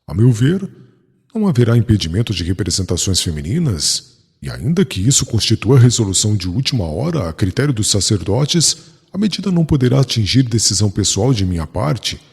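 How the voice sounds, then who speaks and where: A man's voice: fairly low pitch, darkish tone, breathy edge, full character voice. A man playing a proud, zealous young doctor of law in a formal, declamatory audiobook drama. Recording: long hall reverb on voice.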